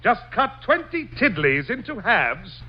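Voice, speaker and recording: An actor playing a pompous, hammy poet who declaims silly verse in 1940s radio comedy, on a muffled noisy broadcast. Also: male voice, sits high, big pitch swings, measured pace. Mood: grandly reciting. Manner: grandiloquent, declamatory.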